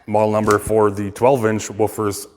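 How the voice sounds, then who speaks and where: A man giving a casual, unscripted technical walkthrough as a repairer, halting as he thinks aloud. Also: lowish voice, flat delivery, darkish tone, in a large hall, slight background noise. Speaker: speaker restorer presenting a repair video.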